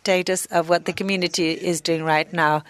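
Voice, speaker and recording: A woman giving a simultaneous interpretation of a conference talk, speaking in short halting phrases and correcting herself as she goes. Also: fairly low pitch, steady tone, fairly bright tone.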